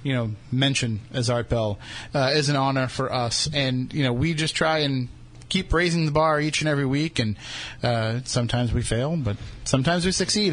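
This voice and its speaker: A man with a Boston accent hosting a radio talk show, bantering casually and poking fun at himself. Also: mid-range voice. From New England. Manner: joking, self-deprecating.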